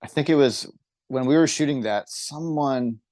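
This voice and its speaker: A man's voice: mid-range voice, moderate pace, a little mellow, no echo, studio quality. A man who sings and writes songs for a band, talking casually about making music in a podcast interview. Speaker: singer and songwriter in a band.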